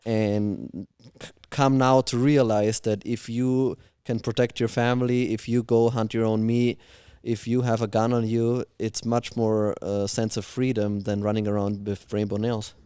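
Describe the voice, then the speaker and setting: A man's voice: lowish voice, flat delivery, unhurried, warm tone, clear, resonant tone, dry recording, clean studio sound. A man from Austria, a podcast guest talking bluntly and opinionatedly in casual chat. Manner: blunt, opinionated, swears freely.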